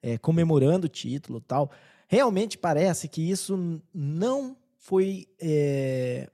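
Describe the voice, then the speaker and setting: A Brazilian man with a soft-edged, mellow voice pitched a little high. He co-hosts a podcast in casual, slangy talk, often halting as he builds his point. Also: lively intonation. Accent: Brazilian Portuguese. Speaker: podcast co-host. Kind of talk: casual chat.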